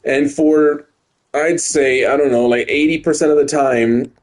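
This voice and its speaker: A man's voice: fairly high pitch, fairly even intonation, unhurried, darkish tone. A young man talking casually while playing a game on stream, rambling, stuttering and restarting his words, and swearing often. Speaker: gaming streamer. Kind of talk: casual gameplay commentary.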